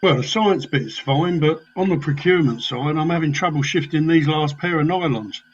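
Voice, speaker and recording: Man playing a cheeky spiv, slightly high voice. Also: normal speed, lo-fi sound, a little hiss or hum, close to an everyday voice. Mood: chancing, breezy. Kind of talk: amateur comedy radio play.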